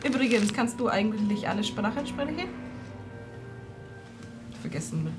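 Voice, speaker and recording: A woman's voice: on the high side, animated, moderate pace. A woman playing a tabletop game in character, polite and hesitant with many fillers, music playing behind. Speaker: player.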